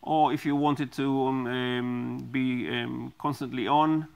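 A middle-aged man explaining and demonstrating a device he designed, speaking haltingly with many ums and restarts, in a small studio on a lapel mic. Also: mid-range voice, steady tone, vocal fry. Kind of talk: product demonstration.

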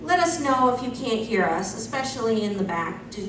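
A young woman speaks from a distance in an echoey room. Her voice is on the high side, and she presents in a casual, joking way as a panel host. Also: animated, normal speed, noisy background. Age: young adult. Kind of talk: casual humorous stage talk. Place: small convention panel room.

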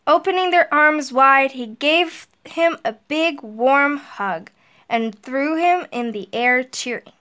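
High-voiced middle-aged woman, slow and simple delivery for children, school counselor style. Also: pushed and bright. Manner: deliberate, simple explaining to children. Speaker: elementary guidance counselor. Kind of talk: children's storybook read-aloud and talk to pupils. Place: indoors, small room at home. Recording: roomy echo, distant mic.

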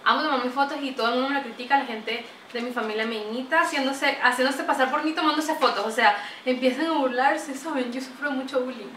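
A young woman from Venezuela with a Venezuelan accent, chatting to camera in a lively, talkative way, recorded in a small bright room. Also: high-pitched, fast talker, few pauses, recorded from a distance, a little hiss or hum. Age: young adult. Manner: chatty, animated, gestures a lot. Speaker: vlogger. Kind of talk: casual chat to camera. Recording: roomy echo, voice a bit off-mic.

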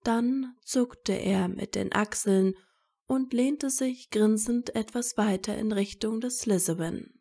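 A woman's voice: medium pitch, slow pace, creaky, warm tone. A woman narrating a scripted fan fiction story as a storyteller.

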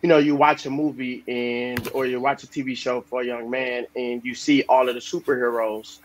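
A man's voice: mid-range voice, level tone, moderate pace, poor audio. A man's mid-range voice comes over a video call with a faint background hiss. He speaks earnestly and restarts his sentences as he works out his point. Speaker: school psychologist. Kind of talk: panel discussion.

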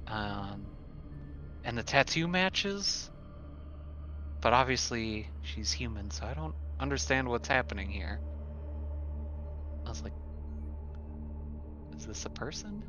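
A man playing a tabletop role-playing game, speaking casually in a hesitant, halting way. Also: mid-range voice, expressive, bright, forceful tone, faint music behind. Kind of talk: casual improvised role-play.

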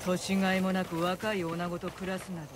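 A woman's voice: fairly low pitch, flat delivery, normal speed, heavy background noise, natural voice. Actress as a fierce old samurai noblewoman. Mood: scornful, fierce. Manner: stern, scornful, blunt. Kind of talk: dramatic game dialogue. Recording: clear outdoor ambience behind voice.

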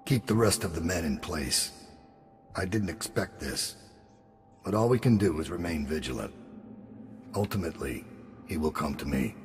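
A man playing a brooding, world-weary middle-aged assassin in a video game, with a gravelly voice and a grim, deliberate, menacing manner. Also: low-pitched, lively intonation, a little hiss or hum. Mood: cold, measured. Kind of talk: video game voice acting, monologue and combat lines. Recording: long reverb, music underneath.

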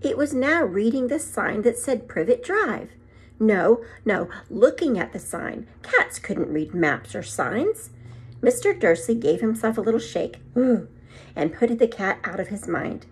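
A woman's voice: a little high, unhurried, slightly rough. A middle-aged woman reading a children's story aloud like a teacher, expressively acting it out and adding short asides. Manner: animated, acts out the story, adds short asides. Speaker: second grade teacher reading aloud to pupils. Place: small room at home, indoors. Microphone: phone or tablet propped at arm's length.

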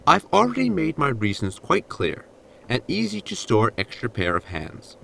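An actor playing a sardonic, scheming sidekick to a space captain, deadpan and dryly insolent, in a sci-fi comedy audio drama. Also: male voice, medium pitch, moderate pace, creaky, full character voice. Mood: dry, teasing.

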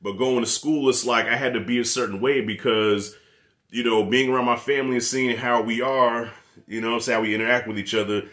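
A man's voice: medium pitch, fairly quick. A man in his thirties with a New York accent, reviewing a show on camera from his living room. He rants in a casual, loose way, swearing freely and talking with his hands. He speaks into a desk mic set up right in front of him. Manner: profane, animated, talks with his hands. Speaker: movie reviewer.

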